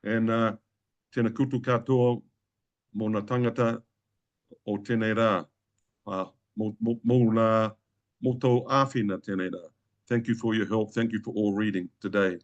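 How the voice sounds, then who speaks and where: Measured Māori-speaking New man. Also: on the low side, fairly even intonation, dull audio. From New Zealand. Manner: measured, with hesitant fillers and restarts. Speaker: reader at online reading. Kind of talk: reading his own flash fiction, with spoken introductions. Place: home in Hong Kong. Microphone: video call.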